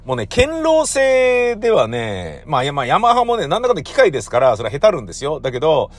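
Animated middle-aged man chatting off the cuff. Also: on the high side, fast talker. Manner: blunt, slangy, self-deprecating; animated storytelling, acting out his own reactions. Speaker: audio drama producer and recordist. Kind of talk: casual solo chat, rambling monologue.

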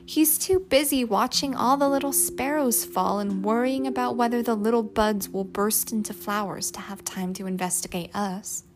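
A woman's voice: a little high, moderate pace, hardly any pauses, close to an everyday voice. A woman voicing a stubborn, outspoken young city girl in an audiobook, sincere, emphatic and defiant. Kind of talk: audiobook character dialogue.

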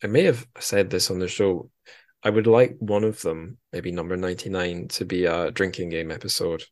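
A man's voice: low-pitched, fairly even intonation, moderate pace, no echo, studio quality. A man chatting casually as a podcast host, with a wry, dryly joking manner.

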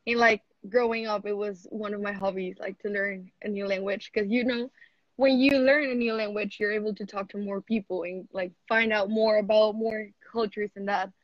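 A woman's voice: medium pitch, steady tone, normal speed, no echo, highs cut off. Teen girl from Mexico, chatty and dry-sounding. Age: teens. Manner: chatty, heavy use of "like". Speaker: interviewee, athlete. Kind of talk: casual interview chat.

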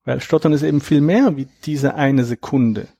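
Man, thirties, interview guest, husky, mellow, dry close sound. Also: medium pitch, no room sound. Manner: reflective, measured, explains at length. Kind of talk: conversational interview.

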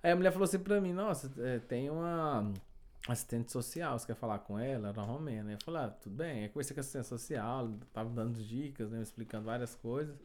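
Fast-talking Brazilian man telling stories. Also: mid-range voice. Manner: animated, laughing, many tag questions. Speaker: guest telling his immigration story. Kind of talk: casual storytelling chat.

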